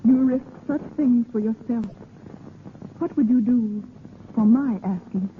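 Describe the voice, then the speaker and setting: A woman's voice: a little high, a little slow, close to an everyday voice. An actress playing a dignified, earnest French noblewoman with refined, formal speech in 1930s radio drama. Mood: fervent. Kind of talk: 1930s theatrical radio acting. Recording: noisy, tinny 1930s radio recording.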